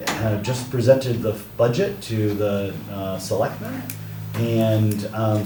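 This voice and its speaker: A man chairing a public meeting in a casual, halting way. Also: lowish voice, a little mellow. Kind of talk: informal public meeting opening. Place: indoor meeting room. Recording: echoey room, distant mics, clear background noise.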